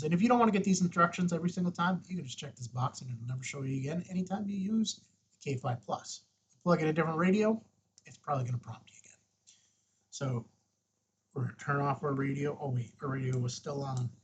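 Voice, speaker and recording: Husky-voiced man giving a casual tutorial. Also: mid-range voice, rough recording. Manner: informal, thinking aloud. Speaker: host, ham radio tutorial presenter. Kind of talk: casual step-by-step tutorial talk.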